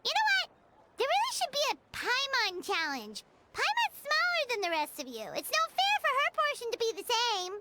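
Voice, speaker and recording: A woman voicing a tiny, childlike, excitable fairy companion in a video game, boastful and exclamatory. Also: extremely high pitch, normal speed, very noisy, exaggerated voice. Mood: exhausted, defeated. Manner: refers to self in third person, exclamatory. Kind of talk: video game cartoon voice acting.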